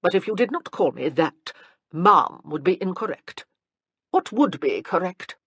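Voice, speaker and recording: A woman's voice: lowish voice, very animated, a little slow, a bit bright, slightly rough, dry recording, full character voice. A woman reading as a stern, imperious old dowager. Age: older. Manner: imperious, cutting. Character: stern elderly aristocratic grandmother, blunt and commanding.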